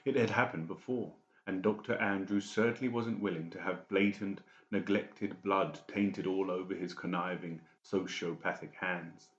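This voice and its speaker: A man's voice: deep voice, fairly even intonation, moderate pace, hardly any pauses, reverberant, dull audio. A man reading scripted, dramatic narration as an audiobook narrator.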